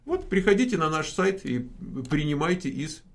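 A man's voice: a little high, very animated, normal speed, creaky. A man hosting a casual live question-and-answer stream, joking and rambling with many fillers. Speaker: host.

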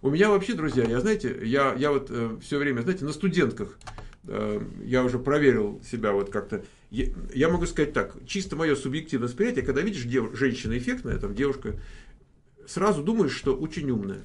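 A man's voice: mid-range voice, lively intonation, fairly quick. A man hosting a casual live question-and-answer stream, joking and rambling with many fillers. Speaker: host.

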